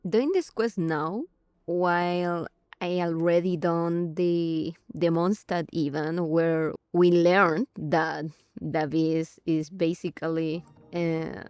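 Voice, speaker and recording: A woman giving casual commentary on a game playthrough, thinking aloud in a halting way. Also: lowish voice, fairly even intonation, a little mellow, clean tone, no room sound. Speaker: gaming streamer. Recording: game music behind the voice.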